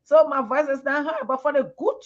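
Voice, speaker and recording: एक ऊँची आवाज़ वाली लाइबेरियाई महिला. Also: female voice, high voice, steady tone, a little fast. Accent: Liberian English. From Liberia. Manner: earnest, repeats words while searching for them. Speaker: panelist. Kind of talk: live TV panel discussion. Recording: muffled lo-fi broadcast audio.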